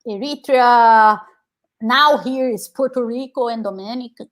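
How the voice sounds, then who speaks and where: A woman's voice: on the high side, unhurried. A woman in her thirties from Brazil with a Brazilian Portuguese accent, telling her personal story as an interview guest, earnest and reflective, with frequent "like"s. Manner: earnest, reflective, many filler "like"s. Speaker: guest, activist and scholar.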